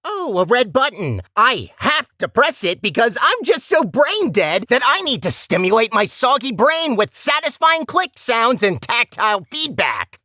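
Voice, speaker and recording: A man's voice: extremely high pitch, big pitch swings, a little slow, few pauses, sharp tone, no echo, heavily acted. A man voicing a talkative robot core in a video game, a petty, scheming villain with a sarcastic, theatrical and condescending manner. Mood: mocking, scornful. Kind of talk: video game character acting.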